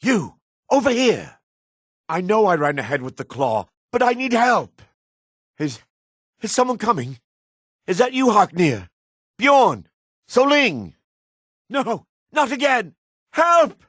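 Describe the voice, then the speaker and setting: An actor playing a jaded, sardonic male dark elf in a fantasy video game, with a husky voice. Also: high-pitched, very animated, slow pace, pauses often, no echo, clean studio sound, full character voice. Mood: terrified. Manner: sardonic, disdainful. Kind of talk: video game character voice acting.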